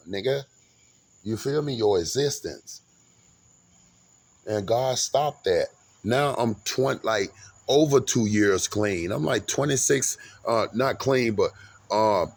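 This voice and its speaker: A man's voice: mid-range voice, animated, a little slow, gravelly, breathy edge. A man in his forties talking in a rambling, grandiose monologue, often repeating and restarting his words. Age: 40s. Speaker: vlogger.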